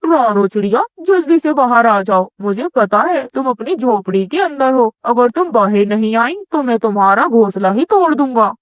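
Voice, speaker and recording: A woman's voice: fairly high pitch, expressive, normal speed, few pauses, no room sound, pitched below the performer's own voice, lightly acted. A woman voicing a wicked, greedy male crow in a cartoon story, threatening and boastful. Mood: menacing. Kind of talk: animated children's bird story.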